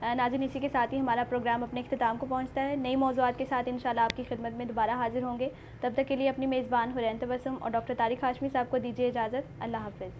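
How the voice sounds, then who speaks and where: A woman's voice: on the high side, level tone, fast talker, hardly any pauses, darkish tone, rough recording. A woman with a Pakistani accent hosting a programme in polite, formal speech. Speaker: host. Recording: noticeable background noise.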